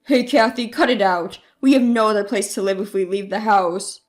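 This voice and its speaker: A woman voicing a middle-aged father in a narrated story, heavy, gruff and desperate. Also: mid-range voice, normal speed, pitched below the performer's own voice, lightly acted. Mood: angry blustering.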